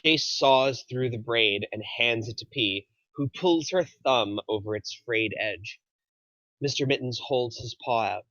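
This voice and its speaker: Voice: mid-range voice, a little slow, fairly bright tone, no room sound. A narrator reading out stage directions from a film script at a table read. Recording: dry but dull, muffled audio.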